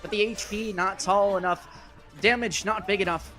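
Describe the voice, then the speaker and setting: Guy casting an esports game, pretty high voice, wry and jokey play-by-play, music going behind him. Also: fairly even intonation, normal speed. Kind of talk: live esports game commentary.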